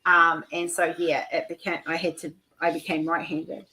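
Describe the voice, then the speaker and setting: A woman's voice: lowish voice, flat delivery, moderate pace, bright, forceful tone, a little hiss or hum, rough recording. A woman hosting a casual livestream chat, telling stories in a loose, rambling way over a video call. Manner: chatty, storytelling, frequent "like" and restarts. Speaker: livestream host.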